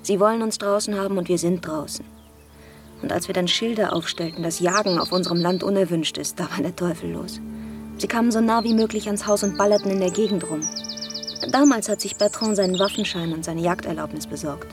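A woman's voice: mid-range voice, fairly quick, over music, close to an everyday voice. An actress playing a grieving, disillusioned mother and rural outsider in a radio drama, reflective and confiding. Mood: bitter, confiding. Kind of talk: German radio drama acting.

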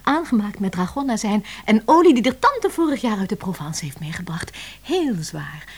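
A woman's voice: mid-range voice, sing-song, normal speed, a little mellow, slightly rough. An actress playing an earnest, deferential woman secretary in a radio play, polite and dreamily savouring her words. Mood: dreamy, enthusiastic.

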